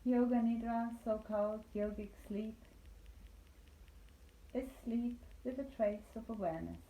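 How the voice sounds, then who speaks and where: A woman leading a guided meditation as a yoga nidra instructor, speaking calmly and soothingly with deliberate pauses. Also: fairly high pitch. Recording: roomy echo, light background noise.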